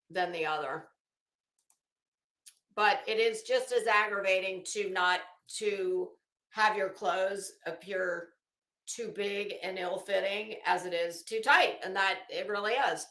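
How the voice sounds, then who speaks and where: An American woman hosts a casual livestream in a roomy, echoing space. Her voice is bright and sits at a middling pitch for a woman. She talks to viewers in a chatty, emphatic way and is blunt with her words. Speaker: livestream host. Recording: echoey, roomy sound.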